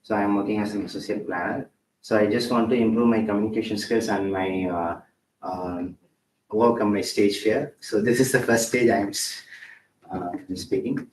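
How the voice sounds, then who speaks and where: A man's voice: fairly low pitch, fairly even intonation, normal speed, a little mellow, slight background noise. A man introducing himself briefly at a speaking club in short, plain sentences. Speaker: guest. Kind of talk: self-introduction at club meeting. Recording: echoey hall, distant mic.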